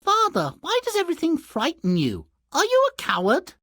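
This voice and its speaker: A man's voice: extremely high pitch, wildly swooping pitch, moderate pace, pushed and bright, dry recording, clean studio sound, heavily acted. A man voicing a naive, curious, fearless young son in a fairy-tale video game, plainspoken and full of questions. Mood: naive curiosity.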